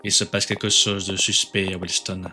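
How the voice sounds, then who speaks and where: Forceful male voice, man acting rigid authority agent, formal, insistent, menacing, webtoon drama, soft music behind. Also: deep voice, little pitch movement, normal speed. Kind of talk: amateur webtoon fan dub acting.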